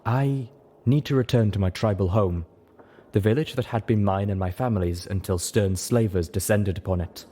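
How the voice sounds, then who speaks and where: A man's voice: on the low side, moderate pace, dark tone, natural voice. A man voicing a reserved zebra mother who speaks formally and steadily, with sorrow held in. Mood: sorrowful, steady.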